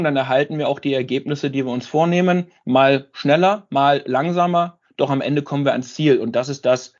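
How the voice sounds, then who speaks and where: Man, thirties, slightly high voice, sales trainer, earnest explainer, conversational, muffled lo-fi recording. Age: 30s. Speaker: sales trainer, company founder. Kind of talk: conversational interview talk about own work. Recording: dull lo-fi sound.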